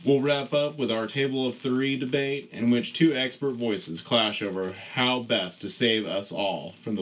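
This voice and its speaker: A man's voice: mid-range voice, fairly bright tone, lo-fi sound, natural voice. A man playing an earnest, patriotic wartime radio news anchor, speaking formally and dramatically. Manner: formal, dramatic announcer delivery. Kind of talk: scripted in-world news broadcast.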